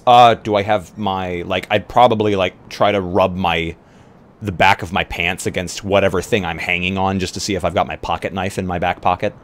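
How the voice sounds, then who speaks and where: A man's voice: sits low, steady tone, moderate pace. A man chatting casually as a player in a tabletop roleplay game, saying what his character does.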